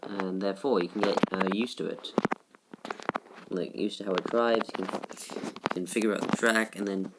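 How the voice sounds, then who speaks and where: Subdued young male gamer, noisy home recording. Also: low-pitched. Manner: halting and rambling, with many restarts; subdued, somewhat flat delivery. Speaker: gaming YouTuber. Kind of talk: casual gameplay commentary. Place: shared room at home.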